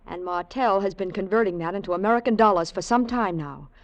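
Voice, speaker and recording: An actress playing a cool, scheming femme fatale with a poised, insinuating delivery in a 1940s radio drama. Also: female voice, mid-range voice, fairly quick, muffled recording, close to an everyday voice. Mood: cool, enticing.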